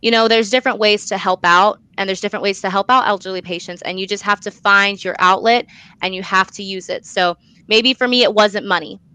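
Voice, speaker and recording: A woman's voice: mid-range voice, steady tone, brisk, sharp tone, clean tone, rough recording. A young adult woman answering in a conversational interview, earnest and emphatic, repeating phrases for stress. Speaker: guest, student actor and playwright.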